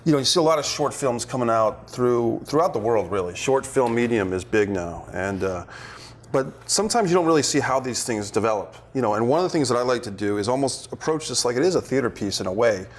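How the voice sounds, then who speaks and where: A man hosting and directing a casual table-read discussion, conversational and halting, repeating words as he thinks. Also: mid-range voice, lively intonation. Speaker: host and director.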